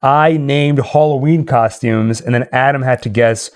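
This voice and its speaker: A man's voice: medium pitch, unhurried. A man hosting a live stream with casual, playful banter, slipping into announcer-like score calls. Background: music.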